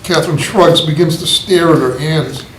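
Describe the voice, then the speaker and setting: A man's voice: on the high side, moderate pace. Man plainly reading stage directions. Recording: roomy echo with faint noise.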